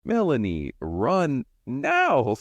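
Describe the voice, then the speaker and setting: A man's voice: mid-range voice, huge pitch swings, very slow, lo-fi sound, slight background noise, heavily acted. A man acting as a defiant, resolute hero in a thriller audio drama, grave and emphatic. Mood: urgent.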